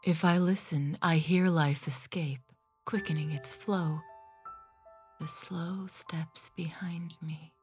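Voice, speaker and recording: A woman playing a somber reciter resigned to death, reading verse in a breathy half-whisper for an audio drama. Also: low voice, fairly even intonation, slow pace, darkish tone, soft background music.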